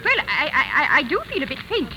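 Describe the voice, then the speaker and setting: A woman's voice: high-pitched, very animated, fairly quick, pushed and bright, heavily acted. An actress playing a fussy, indignant English lady in 1940s radio style, with a huffy, flustered manner. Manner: flustered, huffy, old-fashioned turns of phrase. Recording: noisy old 1940s radio recording.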